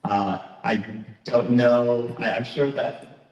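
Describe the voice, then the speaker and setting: A man serving as mayor, welcoming a town meeting in a halting way, with many fillers and restarts. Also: mid-range voice, darkish tone, highs cut off. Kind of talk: public welcome speech. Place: large hall at a town meeting. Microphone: microphone that fails partway. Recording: echoey hall, voice from far off.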